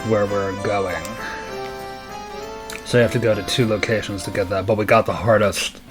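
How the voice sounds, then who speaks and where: A man's voice: on the low side, fairly even intonation, normal speed. A man casually narrating his moves while playing a role-playing game, in a matter-of-fact tone. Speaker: let's play host playing the game. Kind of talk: casual gameplay commentary. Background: game music.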